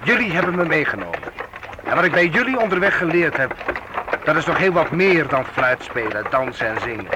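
High-voiced hearty young knight, noisy recording. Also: male voice, sing-song, a little fast. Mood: earnest. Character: young nobleman knight, former minstrel, hearty and frank. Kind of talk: period radio play acting. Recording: very noisy old radio drama recording.

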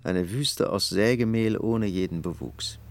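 Low-pitched male narrator over music. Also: measured pace, gravelly. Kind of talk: scripted German radio drama narration.

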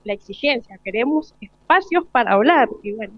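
Higher-voiced Argentine woman, dry sound. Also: animated, brisk, dry recording, highs cut off. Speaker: teacher. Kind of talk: informal interview talk.

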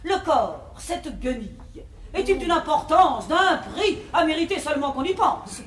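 An actress playing an imperious, pedantic bourgeois wife, declaiming pompously in a classical French verse comedy. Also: female voice, high-pitched, big pitch swings, measured pace, a bit bright, exaggerated voice. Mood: scornful. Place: theatre stage. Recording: roomy 1950s theatre recording, faint noise.

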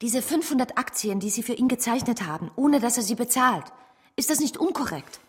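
A woman's voice: a little high, expressive, brisk, slightly rough. An actress playing a wary, principled woman in a radio drama, speaking with earnest reproach. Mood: worried, reproachful. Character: wary, principled woman, a cautious but combative sister.

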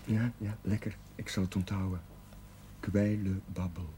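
Shy young Dutch chaplain, noisy soundtrack. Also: male voice, low voice, level tone, warm tone. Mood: gentle, reassuring. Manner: hesitant, halting, earnest. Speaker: actor in television drama. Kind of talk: naturalistic 1970s television drama acting. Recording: noisy 1970s television soundtrack.

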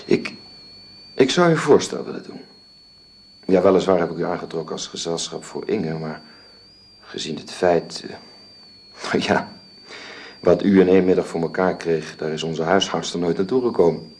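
A man's voice: sits low, moderate pace, long pauses, darkish tone. An actor playing a courteous middle-aged father and employer in a Dutch radio drama, speaking formally, hesitantly and persuasively. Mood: polite, persuasive. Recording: roomy, hissy old radio recording.

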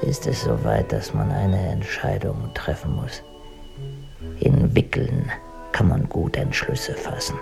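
A husband in a radio play, with a low, heavily creaking male voice. He is stiffly dignified and evasive, answering in formal deadpan over clearly audible music. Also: takes it slowly, full character voice. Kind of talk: German radio drama acting.

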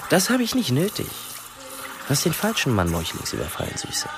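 An actor playing a cocky, self-absorbed youth in a radio drama, sardonic and boastful. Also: male voice, fairly high pitch, wildly swooping pitch, a little fast, music in the background. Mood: haughty. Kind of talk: radio drama acting, inner monologue and dialogue.

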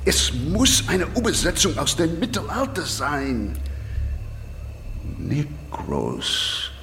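A feverish gentleman scholar in a radio play, male, slow and intense. His voice creaks and swoops from hush to exclamation. It rings in a long hall reverb with music. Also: on the high side, huge pitch swings, exaggerated voice. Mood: obsessed. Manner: theatrical, intense, awed. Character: obsessed British gentleman scholar, grave and feverish. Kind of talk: radio drama acting.

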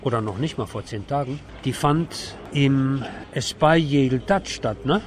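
A man's voice: medium pitch, unhurried, slight background noise. A man hosting a conversational radio interview. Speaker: radio host.

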